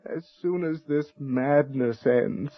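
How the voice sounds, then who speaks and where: An actor playing a desperate, frightened husband in a radio horror drama, stammering and overwrought. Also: male voice, medium pitch, takes it slowly, highs cut off. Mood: anguished, pleading. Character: desperate, frightened husband pleading with his mad wife.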